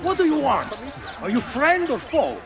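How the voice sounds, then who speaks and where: A scornful, belligerent game villain. His high voice swings widely in pitch, contemptuous and volatile, with music behind it. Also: wildly swooping pitch, moderate pace, full character voice. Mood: aggressive. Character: scornful irreligious man, irritable, belligerent Templar conspirator. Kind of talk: video game cutscene acting.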